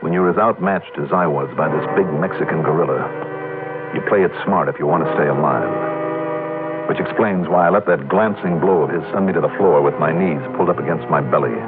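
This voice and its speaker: An actor playing a wry, tough American insurance investigator, clipped and hard-boiled, in old-time radio detective drama. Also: male voice, extremely low pitch, brisk. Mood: dry narration. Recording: muffled vintage radio recording, music behind.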